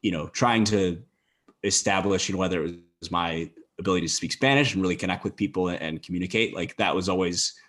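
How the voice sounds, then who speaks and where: Deep-voiced man, thoughtful. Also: fast talker, a bit bright. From United States. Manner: thoughtful, heavy on "you know" fillers. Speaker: podcast guest. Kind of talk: casual conversation.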